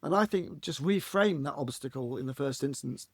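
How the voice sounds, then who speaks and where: A man with a husky voice, a podcast guest talking reflectively and earnestly, often restarting his phrases. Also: medium pitch, expressive, normal speed, no room sound. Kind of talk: reflective conversational interview.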